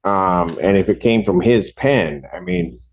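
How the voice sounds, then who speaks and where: Low-voiced male judge, dull recording. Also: a little slow, a little hiss or hum. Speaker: judge presiding over a court hearing. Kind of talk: courtroom ruling from the bench. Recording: dull lo-fi courtroom audio.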